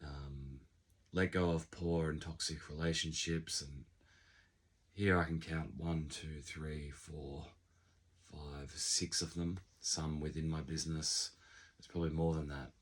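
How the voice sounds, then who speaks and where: A man with a croaky, hoarse voice, still sick, talking over his year in a reflective, unhurried vlog with many ums. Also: a bass voice, level tone. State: hoarse, recovering from illness. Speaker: channel creator. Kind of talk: casual vlog monologue. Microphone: unfamiliar backup microphone.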